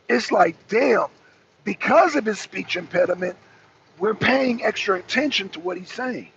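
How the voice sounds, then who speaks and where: A man with an American accent speaks in a high, raspy voice full of gravelly fry. He delivers off-the-cuff political commentary as a show host, hammering key phrases by repeating them. The audio is dull, with noticeable noise behind him. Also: a bit bright.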